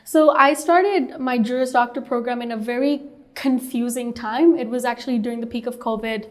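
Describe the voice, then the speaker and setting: A woman's voice: fairly high pitch, moderate pace. A young woman from Hong Kong, a startup co-founder, telling her story earnestly in an interview with many "like"s and "you know"s. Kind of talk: interview answers.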